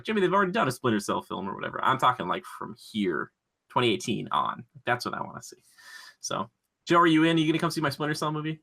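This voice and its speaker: A man co-hosting a podcast in casual chat, pitching his ideas in an excitable, slangy, rambling way. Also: gravelly. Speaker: podcast co-host.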